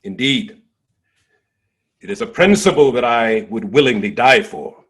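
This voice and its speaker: A man acting as a dignified nineteenth-century abolitionist orator with a husky voice. He delivers a stage monologue in stately, impassioned phrases that swell and fall away, set off by deliberate pauses. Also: medium pitch, very animated, a bit bright, heavily acted. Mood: solemn, resolute. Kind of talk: dramatic monologue, theatrical oratory.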